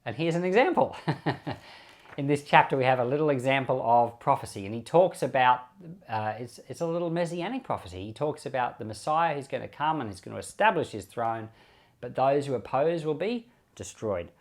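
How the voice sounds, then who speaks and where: A man's voice: mid-range voice, expressive, normal speed. A man, a pastor reading scripture and explaining it informally. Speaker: pastor reading and commenting on Bible.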